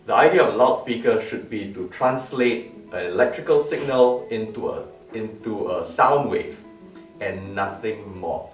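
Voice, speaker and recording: A man's voice: fairly low pitch, animated, unhurried. A man giving an informal product talk as a designer, explaining emphatically with repeated words and rhetorical questions, recorded in a room. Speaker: loudspeaker designer presenting his product line. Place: medium-sized living-room-like room indoors. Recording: roomy, distant mic with music behind. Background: plucked lute music.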